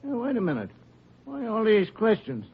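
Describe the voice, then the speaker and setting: A brash union steward in an old radio drama. His voice is on the high side and swings around a lot, colloquial and defensive. The recording is dull and lo-fi. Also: wildly swooping pitch, normal speed, breathy edge, exaggerated voice. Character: brash, evasive union steward, fast-talking city man. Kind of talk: 1950s radio drama acting.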